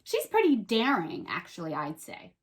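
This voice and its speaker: A woman playing a perky, chatty reading buddy who reads storybooks aloud on a children's show with animated, playful asides. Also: mid-range voice, normal speed. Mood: enthusiastic. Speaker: co-host reading a picture book aloud.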